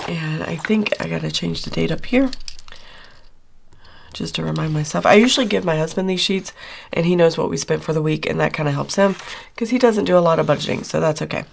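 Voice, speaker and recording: A middle-aged woman, hoarse after losing her voice, chatting casually through her weekly budget check-in with lots of fillers. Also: on the low side, slight background noise. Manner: chatty, rambling with many fillers. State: hoarse, recovering from lost voice. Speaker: budgeting channel host.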